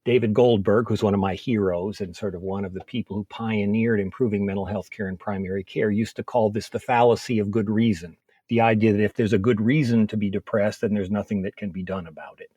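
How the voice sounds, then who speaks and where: A man speaking as a physician in an interview, explaining things methodically in measured, orderly points. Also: lowish voice, steady tone, dark tone, breathy edge, no echo, dull audio. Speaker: physician, expert interview guest.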